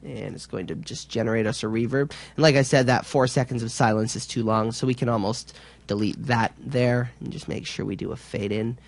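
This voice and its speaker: Guy doing a laid-out software tutorial, kinda halting, normal pitch, really dry sound. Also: steady tone, vocal fry, no echo. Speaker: tutorial instructor. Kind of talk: informal software tutorial narration.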